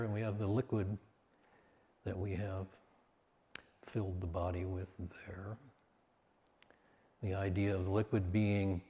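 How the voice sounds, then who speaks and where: A man with a low, dark-toned voice guides a meditation in a soothing manner. He speaks very slowly and leaves long pauses between short phrases. His words carry a long, hall-like reverb. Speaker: meditation teacher.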